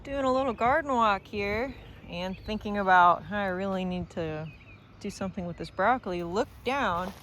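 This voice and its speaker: A woman's voice: medium pitch, normal speed, a bit bright. A young woman with a drawl talks through her farm chores in a plain, chatty way, full of little fillers. Her voice is slightly worn from a morning of talking. She records outdoors on a handheld phone, with a faint wash of outdoor noise behind her. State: voice strained from talking. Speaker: farm vlogger. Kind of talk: casual farm diary vlog. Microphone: phone held while walking.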